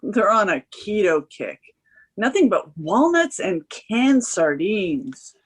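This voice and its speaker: A woman's voice: medium pitch, sing-song, slow pace, husky, heavily acted. A woman acting as a dry, sardonic tech office worker in a screenplay table read. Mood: wry. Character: wry, sardonic female tech office worker helping a friend.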